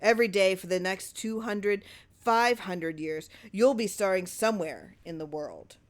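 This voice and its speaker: A woman voicing a wily, flattering old male lawyer in an audiobook story, talking in a persuasive, rhetorical way. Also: medium pitch, unhurried, close to an everyday voice.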